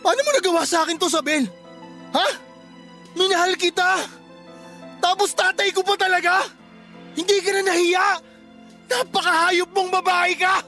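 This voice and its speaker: An actor playing an enraged, betrayed young man in a radio drama, shouting accusing questions with a Filipino accent, over music. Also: very high-pitched, steady tone, normal speed, pauses often, exaggerated voice. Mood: furious, hurt. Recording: music under the voice.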